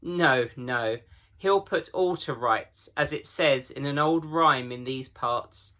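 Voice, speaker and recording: A man as a gruff, emphatic old talking beaver. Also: medium pitch, takes it slowly, sharp tone. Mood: proud, emphatic. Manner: emphatic, folksy, declamatory. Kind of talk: children's storybook reading.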